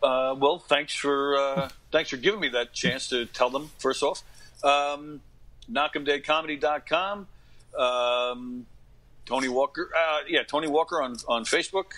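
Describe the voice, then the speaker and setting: A man's voice: medium pitch, unhurried, a bit bright, low-quality recording. A middle-aged man talking casually as an interview guest, earnest and emphatic, often repeating his phrases. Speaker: podcast guest, actor and comedy radio host.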